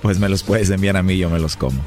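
A man making a prank phone call for a radio show, smooth and flirtatious. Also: deep voice, quick pace, darkish tone, faint music behind.

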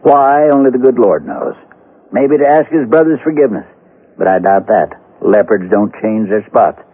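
Actor as husky, knowing radio Westerner. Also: male voice, mid-range voice, moderate pace, lightly acted. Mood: grim. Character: knowing, grave Westerner explaining a grim tale. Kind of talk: 1970s radio western acting. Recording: tinny, narrow-band vintage radio recording.

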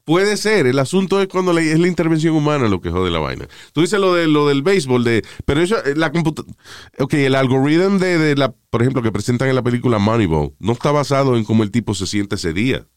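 Male talk host, crude and rambling, lively pitch, dry close sound. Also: quick pace, smooth, dry recording. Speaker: show host. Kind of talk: casual studio banter.

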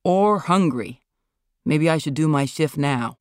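A woman reading as a gruff, pompous older man, a famed actor in a literary story. He sneers and declaims, captured in a close, dry recording. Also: low voice, lively intonation, unhurried, no echo. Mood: sulky.

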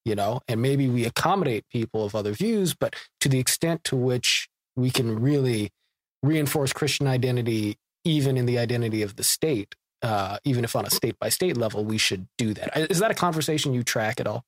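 A man hosting a podcast, framing long questions in a halting way with many fillers and restarts. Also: mid-range voice, lively intonation, no echo. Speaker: podcast host. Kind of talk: conversational podcast interview.

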